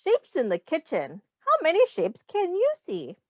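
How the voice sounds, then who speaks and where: A woman teacher reading a picture book aloud to young children, explaining in simple words, asking questions and pausing for answers. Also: very high-pitched, huge pitch swings, no room sound, lo-fi sound. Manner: addresses children directly, pausing for their answers.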